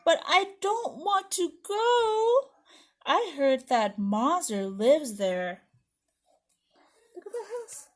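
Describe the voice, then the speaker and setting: A woman voicing a timid little trick-or-treater from a storybook, pleading in a dramatic, drawn-out way. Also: very high-pitched, big pitch swings, pitched above the performer's own voice, full character voice. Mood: nervous awe.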